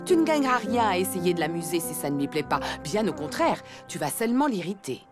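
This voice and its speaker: A woman's voice: lowish voice, very animated, brisk. A woman voicing a kind, sensible elephant mother in a children's cartoon, affectionate and reasonable. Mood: reasoning. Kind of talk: French children's cartoon dialogue. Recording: music underneath.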